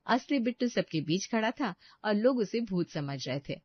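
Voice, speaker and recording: A woman's voice: mid-range voice, expressive, normal speed, no room sound, dull audio. A woman narrating a scripted story.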